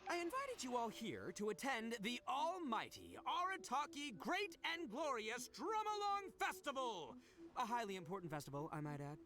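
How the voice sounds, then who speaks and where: A man's voice: very high-pitched, wildly swooping pitch, normal speed, pushed and bright, exaggerated voice. A man voicing a boastful, big-hearted young oni gang leader in a video game, with bombastic, slangy delivery.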